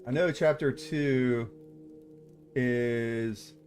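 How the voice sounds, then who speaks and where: A man's voice: medium pitch, very slow, a little mellow, soft background music. A man in his thirties hosting a live stream, chatting casually with viewers, hesitant with many fillers and restarts. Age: 30s. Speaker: VTuber streamer hosting writing critiques.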